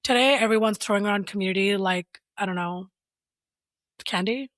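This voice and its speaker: A woman in her thirties co-hosts a casual podcast, playful and quick to laugh as she asks questions. Her voice sits at an ordinary middle pitch. The recording is dry and close. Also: fairly bright tone, no echo. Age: 30s. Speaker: podcast co-host. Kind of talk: casual chat.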